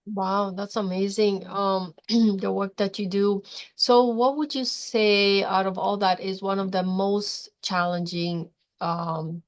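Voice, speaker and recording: A woman hosts an interview podcast. She comes from Europe and speaks at a middle pitch, in the manner of someone introducing and questioning a guest. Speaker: podcast host.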